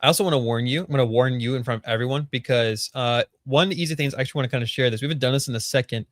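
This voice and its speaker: Young male co-host, mid pitch, loose and talkative, dry close sound. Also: very fast, no echo. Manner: chatty, laughs often, rambling asides. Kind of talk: casual live-stream chat.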